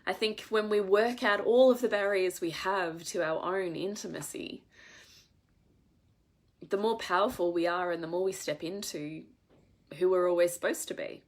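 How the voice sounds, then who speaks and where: A congested woman with a head cold talks earnestly to her audience, her voice sitting a little low for a woman. She presses her points with emphasis and the odd swear word, the easy live-stream talk of a coach. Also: steady tone, normal speed. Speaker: women's coach.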